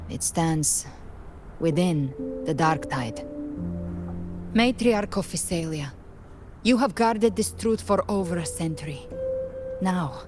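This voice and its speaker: Low-voiced, grave game strategist. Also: female voice. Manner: deliberate, formal, explanatory. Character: grave, knowing woman strategist, measured and analytical. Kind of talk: video game voice acting.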